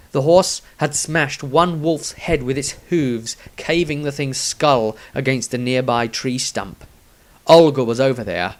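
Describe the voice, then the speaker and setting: A man reading his own scripted fantasy story aloud as narrator, with a dramatic storytelling delivery. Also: medium pitch.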